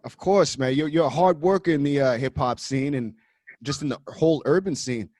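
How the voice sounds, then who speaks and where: A man's voice: fairly high pitch, moderate pace, darkish tone, dry recording. A man hosting a radio show interview, talking casually and often tagging his lines with "you know what I mean". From Canada.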